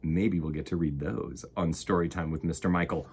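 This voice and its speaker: A man's voice: deep voice, fairly even intonation, moderate pace, creaky, a little hiss or hum. A man hosting a children's storytime, reading aloud and chatting expressively, with direct questions to young listeners. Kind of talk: picture-book read-aloud and chat to children.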